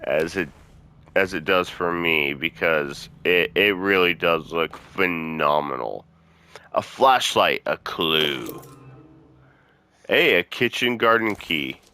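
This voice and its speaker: A man hosts a gaming video with a deep, forceful voice. He speaks slowly and informally, chatting straight to viewers as he plays and swearing freely. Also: lively intonation. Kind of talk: casual let's-play commentary.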